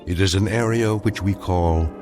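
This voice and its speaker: An actor playing a grave, knowing narrator of eerie tales in a radio drama, measured, wry and ominous. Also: male voice, sits low, over music, close to an everyday voice.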